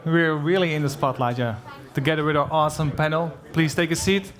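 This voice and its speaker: A man moderating a conference panel on stage, enthusiastic and quick to laugh at his own slips. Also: fairly high pitch, steady tone, a bit bright. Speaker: podcast host, panel moderator. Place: conference stage before an audience.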